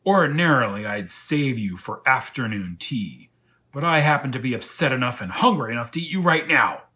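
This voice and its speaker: A man voicing a vain, hot-tempered lion in a children's storybook, loud and blustering. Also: medium pitch, expressive, normal speed, voice pushed up. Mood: menacing. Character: angry, vain, blustering male lion, scolding and boastful.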